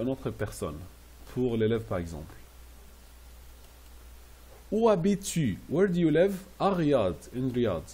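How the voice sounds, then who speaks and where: A man teaching French classroom phrases, repeating each slowly and translating it into English. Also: fairly high pitch, warm tone, breathy edge. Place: Riyadh.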